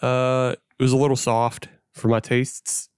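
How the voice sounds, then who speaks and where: A man's voice: mid-range voice, flat delivery, measured pace, a little mellow, clear, resonant tone, no echo, clean studio sound. A man hosting a casual beer podcast, laughing often and steering the talk. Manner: laughs often, leads the discussion. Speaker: podcast host. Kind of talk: casual chat.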